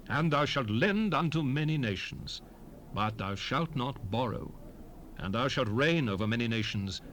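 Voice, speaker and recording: Solemn, expressive scripture lawgiver. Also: male voice, mid-range voice. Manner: solemn, declamatory, measured phrasing. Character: solemn male lawgiver proclaiming commandments from scripture. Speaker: dramatized Bible reader. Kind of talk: dramatized King James scripture recitation. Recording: hissy 1970s stereo cassette rip.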